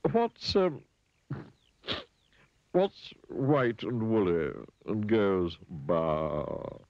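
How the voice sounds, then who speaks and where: A man's voice: on the low side, huge pitch swings, drawn-out words, no echo, muffled recording, voice pushed up, heavily acted. A man voicing a smug, condescending clever pig in a children's story. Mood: mock serious. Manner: condescending, rude.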